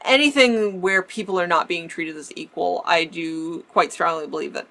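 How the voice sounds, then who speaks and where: Chatty Canadian woman, slightly low. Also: normal speed, vocal fry, a bit bright. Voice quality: faint lisp remnant. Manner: chatty, laughs often, restarts words. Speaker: vintage-style vlogger. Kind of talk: casual Q&A chat.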